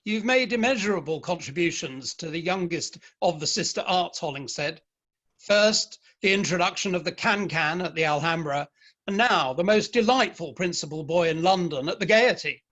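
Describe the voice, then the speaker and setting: Male actor as modest Victorian man of letters, middle-aged, wry, slightly high-pitched, in a staged reading. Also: animated, normal speed, a bit bright. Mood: genial. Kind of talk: dramatic play reading.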